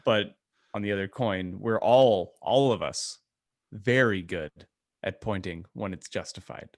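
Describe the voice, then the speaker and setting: A man co-hosting a podcast in casual chat, with halting, loose phrasing. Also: lowish voice, no echo, clean studio sound. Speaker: podcast co-host.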